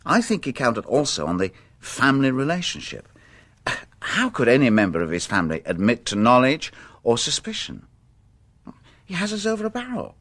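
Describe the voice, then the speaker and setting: A man's voice: mid-range voice, wildly swooping pitch, moderate pace, fairly bright tone, heavily acted. A man acting a shrewd, dogged police inspector in a radio drama, polite, guarded and understated in manner. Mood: respectful, insistent.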